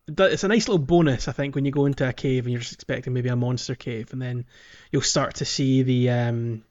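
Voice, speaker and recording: A man's voice: mid-range voice, steady tone, a little fast, darkish tone, muffled recording. A man hosting a podcast, chatting casually about a video game. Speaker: podcast host.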